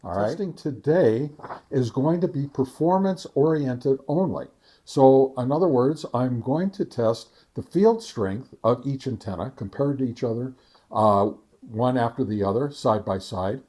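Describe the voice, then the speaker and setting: Man, mellow relaxed tone, informal reviewer, halting explanatory delivery. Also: medium pitch. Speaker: ham radio video reviewer, host. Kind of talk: informal product test talk.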